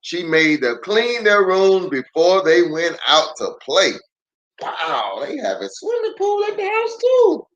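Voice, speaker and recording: Animated, high-voiced man reading a storybook. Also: lo-fi sound. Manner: animated, theatrical, drawn-out emphasis. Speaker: pastor reading a children's story. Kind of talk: expressive children's storybook read-aloud.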